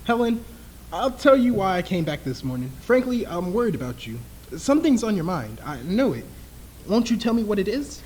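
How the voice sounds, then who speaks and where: A man playing a smooth, menacing husband in an old-time radio thriller, outwardly soothing and patronizing. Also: high-pitched, big pitch swings, normal speed, darkish tone, a little hiss or hum, rough recording. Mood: soothing, insistent. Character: smooth, menacing husband toying with his frightened wife.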